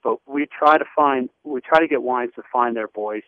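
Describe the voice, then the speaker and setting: A man's voice: mid-range voice, normal speed, dry recording. A man in the wine trade answering interview questions in a loose, chatty way with many fillers. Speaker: wine business guest. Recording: phone-like radio line.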